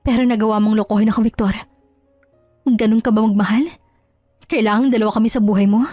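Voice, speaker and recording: Woman acting a high-pitched, indignant betrayed wife. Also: steady tone, fast talker, warm tone, no room sound, soft background music, close to an everyday voice. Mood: bitter, firm. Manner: scolding, scornful rhetorical questions. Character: hurt, indignant betrayed wife, proud and resolute. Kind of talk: Tagalog audio drama acting.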